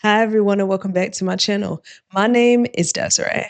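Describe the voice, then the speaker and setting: A woman criminal attorney hosting her channel, her voice going hoarse, talking emphatically and directly to viewers in casual commentary. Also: mid-range voice, fairly even intonation, brisk, no echo. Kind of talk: casual reaction commentary to camera.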